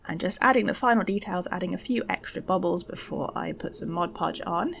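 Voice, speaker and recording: A young woman giving a casual, chatty craft voice-over for an art channel, informal and gently self-deprecating. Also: fairly low pitch, a little fast, vocal fry, slight background noise. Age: young adult. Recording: music behind the voice. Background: faint background music.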